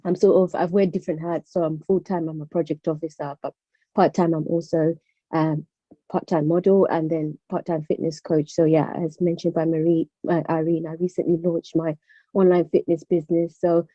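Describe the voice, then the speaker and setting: Young female guest speaker from Zimbabwe, very soft dark tone, lowish, casual, lo-fi sound. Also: little pitch movement, normal speed, dry recording. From born in Zimbabwe, moved to the UK aged about nine. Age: young adult. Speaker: guest speaker, former pageant contestant. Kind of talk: casual motivational talk. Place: online video call. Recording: dull, dry lo-fi call audio.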